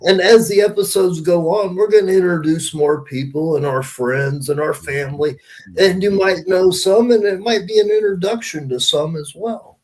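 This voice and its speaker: Man, podcast host, fairly high voice, informal, emphatic storyteller, casual swearing. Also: normal speed, a little mellow, poor audio. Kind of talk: casual chat, anecdotes.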